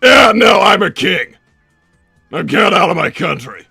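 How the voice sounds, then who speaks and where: A man's voice: sits high, very animated, unhurried, gravelly, sharp tone, breathy edge, no room sound, raised voice, studio quality, faint music behind, exaggerated voice. A man playing a boastful, gloating mad-doctor king in a theatrical cartoon roleplay, proclaiming things with bombast. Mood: commanding. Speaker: self-crowned king and father.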